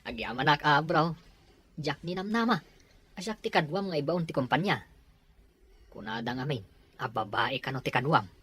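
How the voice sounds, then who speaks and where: Woman playing a husky, low-voiced teasing young guy. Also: expressive, normal speed, long pauses, a bit bright, exaggerated voice. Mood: friendly banter. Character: teasing, easygoing young male office friend. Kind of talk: Ilocano radio-style drama acting.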